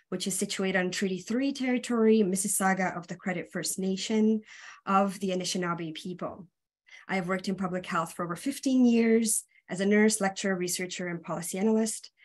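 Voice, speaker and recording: A woman's voice: medium pitch, fairly even intonation, moderate pace. A woman from Romania whose first language is Hungarian, presenting a webinar over a video call in a conversational way with frequent ums. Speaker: guest speaker, public health consultant. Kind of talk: casual presentation.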